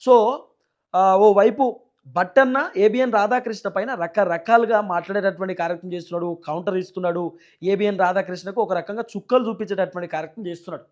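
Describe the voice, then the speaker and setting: A man with a high voice and a Telangana Telugu accent delivers political commentary to viewers at a quick clip. His manner is emphatic and repetitive, built on rhetorical questions. The sound is a little dull and lo-fi. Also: steady tone, a little mellow. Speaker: news channel host and political commentator.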